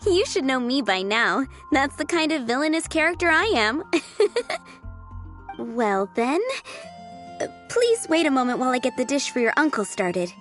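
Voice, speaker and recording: High-voiced polite young waitress. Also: female voice, animated, normal speed, a bit bright, soft background music. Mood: playful. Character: young restaurant waitress, polite, helpful, playful. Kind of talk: anime game voice acting.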